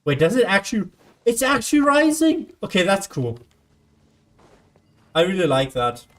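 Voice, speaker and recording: A woman's voice: medium pitch, big pitch swings, normal speed. A woman giving casual gameplay commentary, understated and musing to herself as she plays. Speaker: game streamer.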